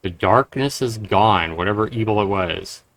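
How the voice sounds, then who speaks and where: A man's voice: on the low side, normal speed, fairly bright tone. A man streaming a game, reading its text aloud and adding blunt, profane casual commentary.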